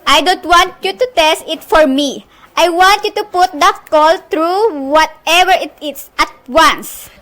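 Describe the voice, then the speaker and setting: A woman's voice: very high voice, a little slow, a bit bright. A woman acting in an amateur suspense radio play as a nervous, irritable bedridden woman, complaining imperiously on the telephone. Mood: demanding, indignant.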